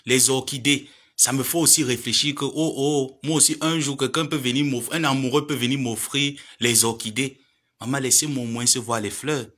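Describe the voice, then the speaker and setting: Man, 30s, bright edgy voice, preachy live-stream lecturer who pleads and scolds. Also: mid-range voice. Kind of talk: admonishing social media live monologue.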